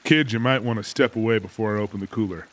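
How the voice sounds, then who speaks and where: Weathered older boatman, acted by a man, low voice, wry and protective, deadpan audiobook drama. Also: fast, lightly acted. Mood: wry, wary.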